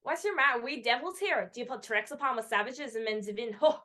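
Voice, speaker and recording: A woman's voice: on the high side, pushed and bright. A woman putting on an Irish accent to voice a shipwrecked man from a play, declaiming verse in a hurry over a video call. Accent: Irish, put on. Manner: declaiming Shakespearean verse, hurried.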